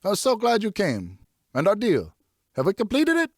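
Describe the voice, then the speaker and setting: A jovial, tipsy gentleman of old France, acted out by a male audiobook reader. The voice leaps high and blurts along with effusive, exuberant warmth. The sound is close and dry. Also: huge pitch swings, moderate pace, dry recording, full character voice. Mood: tipsy delight. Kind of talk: audiobook character dialogue.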